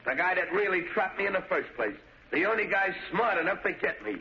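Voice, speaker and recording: A man's voice: high-pitched, expressive, brisk. A man playing a defiant, vengeful gangster condemned to death, talking tough and slangy in 1930s radio style. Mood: defiant, threatening. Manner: tough slangy talk, menacing. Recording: dull, hissy 1930s radio recording.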